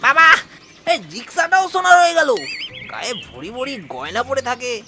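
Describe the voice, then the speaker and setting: A gossipy cartoon bystander acted by a performer, high and creaky, exclaiming in amazement with lively swings of pitch. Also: fairly quick, over music, full character voice. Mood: astonished. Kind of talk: children's cartoon dialogue.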